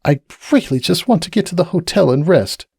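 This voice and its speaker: A man voicing a tired, fretful middle-aged pony mare with a gentle, motherly manner. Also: fairly high pitch, animated, fairly quick, vocal fry, husky, pitched above the performer's own voice, full character voice.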